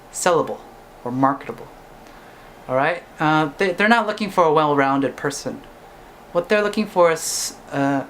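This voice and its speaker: A man's voice: a little high, a bit bright, a little hiss or hum. A young man, a singer-songwriter whose first language is English, talking casually to camera and ending his points with "right?". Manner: chatty, ends points with "right?".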